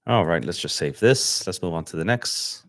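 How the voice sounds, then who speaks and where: Deep-voiced laid-back man livestreaming. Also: moderate pace, vocal fry, no room sound, studio quality. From Los Angeles, USA. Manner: relaxed, slangy, thinks aloud with fillers. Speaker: photographer livestreaming. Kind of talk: casual livestream chat while editing. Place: home desk setup.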